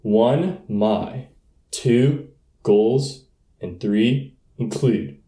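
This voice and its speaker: A man's voice: fairly low pitch, drawn-out words, dark tone, roomy sound. A young American man playing a brash boy running for student president, giving pompous political speeches in a comedy radio play. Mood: pompous, folksy. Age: young adult.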